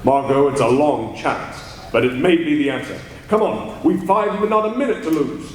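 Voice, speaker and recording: A man playing a calm, commanding crime-fighter hero in a live old-time radio drama, crisp and dramatic. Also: on the high side, moderate pace, natural voice. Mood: urgent. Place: large convention hall, live stage. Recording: echoey hall with long reverb.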